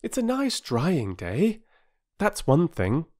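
A narrator voicing an earnest, gentle older girl with grown-up airs, in a children's storybook. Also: medium pitch, wildly swooping pitch, slow pace, pitched above the performer's own voice. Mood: grown-up, wistful.

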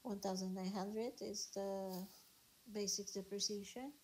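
A woman's voice: medium pitch, fairly even intonation, measured pace, darkish tone. Hesitant woman student, faint hiss. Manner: hesitant, many fillers, reads numbers aloud. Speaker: accounting student. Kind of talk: working through a problem aloud.

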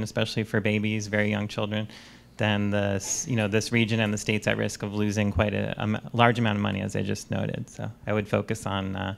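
A man speaking as a panelist at a community event, brisk and matter-of-fact. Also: lowish voice, creaky. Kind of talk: panel discussion answer. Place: auditorium stage panel.

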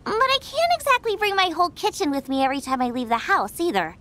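A woman voicing a cheerful, food-obsessed young girl chef with an excitable, breezy manner in a video game. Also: very high-pitched, fast talker, heavily acted. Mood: cheerful, confident. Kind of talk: scripted game dialogue.

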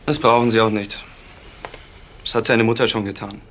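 A man's voice: fairly low pitch, fairly even intonation, moderate pace, background noise, lightly acted. A man playing a matter-of-fact police investigator in a crime radio play, speaking in clipped phrases.

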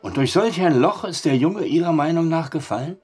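An actor playing a sceptical barkeeper with a husky voice and a dry, teasing manner in a German audio drama. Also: male voice, on the high side, lively intonation, a little fast, a little mellow, faint music behind.

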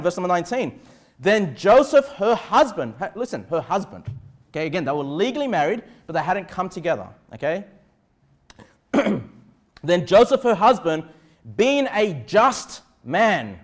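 Aussie guy pastor preaching, high pitched voice, emphatic but chatty, lapel mic at the pulpit. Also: big pitch swings, moderate pace. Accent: Australian. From Australia. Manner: emphatic, conversational preaching with check-in tags. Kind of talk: sermon. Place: indoor church pulpit in a small room. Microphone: clip-on lapel mic.

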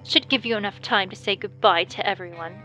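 A woman's voice: medium pitch, fairly quick, sharp tone, faint music behind. A woman voicing a confident female bat thief, teasing and commanding in a fan audio drama. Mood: sly.

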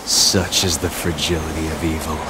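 An actor playing a stoic, stern ninja warrior in a video game, terse and grave. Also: male voice, a bass voice, little pitch movement, normal speed. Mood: grim. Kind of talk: video game hero acting. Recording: clearly noisy background.

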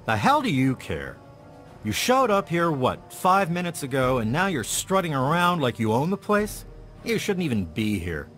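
Surly, animated lab worker. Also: male voice, fairly high pitch, normal speed, faint music behind. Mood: angry, resentful. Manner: irritable, scornful, curt. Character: surly, territorial, resentful male lab worker. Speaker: video game character actor. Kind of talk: scripted game dialogue.

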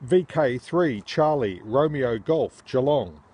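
A man's voice: medium pitch, lively intonation, takes it slowly. Casting: Australian male radio hobbyist, forties, casual chat and call signs, very noisy handheld recording. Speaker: amateur radio operator. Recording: noisy handheld phone video, loud background hiss.

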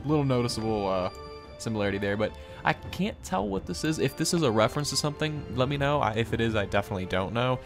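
A man's voice: mid-range voice, vocal fry. A young man hosting a gaming video, giving casual, rambling showcase commentary in an informal, easily impressed way. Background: game music.

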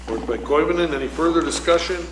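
A man chairing a city council meeting as mayor, calling motions and votes in a brisk, routine manner. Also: on the high side. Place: council chamber, large room. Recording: echoey council chamber with background noise.

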